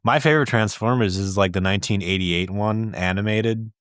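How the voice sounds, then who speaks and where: A man's voice: lowish voice, a little fast, clear, resonant tone, no echo, clean studio sound. A man co-hosting a comedy podcast, joking and swearing casually as he chats and reads listener letters. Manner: profane, joking. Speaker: podcast co-host.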